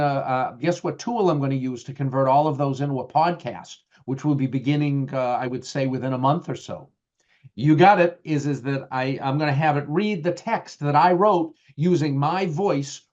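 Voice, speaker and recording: Man, boston accent, middle-aged, webinar presenter, chatty with lots of ums and restarts, a bit high, dull lo-fi audio. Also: a little mellow. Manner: conversational, many fillers and restarts. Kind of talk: webinar talk with slides.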